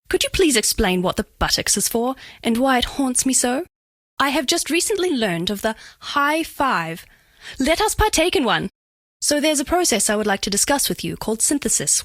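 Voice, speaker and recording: High, lilting, curious alien crystal woman. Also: fairly bright tone, dry recording, clean studio sound, heavily acted. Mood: coy, flirtatious. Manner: stilted, precise, overly clinical phrasing. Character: female alien crystal being, curious, formal, awkwardly flirtatious. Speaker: video game voice actress. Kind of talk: scripted dating-game character voice lines.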